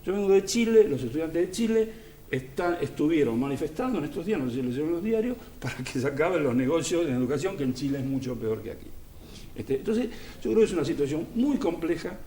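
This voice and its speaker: A man's voice: a little high, very animated, quick pace, dark tone, echoey room, a little hiss or hum. A man, speaking as an education specialist on a panel discussion, earnest and emphatic, building his points through repetition.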